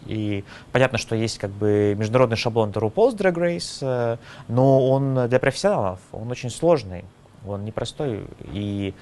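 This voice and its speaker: A man's voice: medium pitch, darkish tone, a little hiss or hum. A man who organizes a drag contest, speaking in a documentary interview in a rambling way and searching for words.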